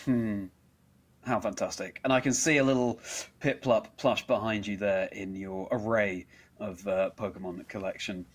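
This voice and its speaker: A man's voice: on the low side, fairly even intonation, normal speed. A man hosting an interview chat, speaking in an effusive, rather formal and wordy way. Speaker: podcast host interviewer.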